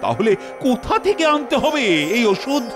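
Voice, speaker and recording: A man voicing an excitable, imperious Indian king in an animated comedy, with theatrical, exclamatory delivery. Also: very high voice, moderate pace. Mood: impatient. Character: flustered, excitable Indian king holding court. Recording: loud background music.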